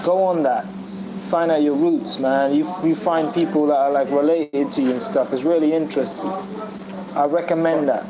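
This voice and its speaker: A man's voice: on the high side, steady tone, normal speed, crowd noise, poor audio. A man in a casual group discussion, rambling through a personal story with many restarts and fillers. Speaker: discussion guest. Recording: audible background noise.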